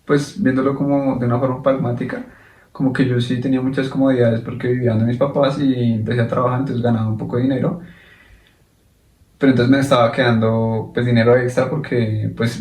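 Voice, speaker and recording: A young man talks through his story on a podcast, hesitant and halting, with plenty of fillers. His tone stays flat and even. The mic catches him from a distance in a room with noticeable echo. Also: medium pitch. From Colombia. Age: young adult. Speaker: podcast co-host. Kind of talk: casual conversation.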